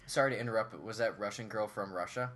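A young man co-hosting a podcast, chatting casually and cracking quick jokes. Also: on the low side, fairly bright tone. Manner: jokey, quick quips. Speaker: podcast co-host. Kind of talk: casual chat.

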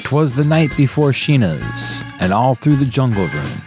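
A man's voice: mid-range voice, very animated, unhurried. A man reading a holiday poem in rhyme with playful, theatrical storytelling. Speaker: DJ on a radio show. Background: plucked guitar music.

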